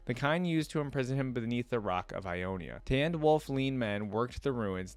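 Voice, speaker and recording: A man hosting a reaction video, reading lore aloud and musing over it in casual commentary. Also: medium pitch. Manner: musing, thinking out loud.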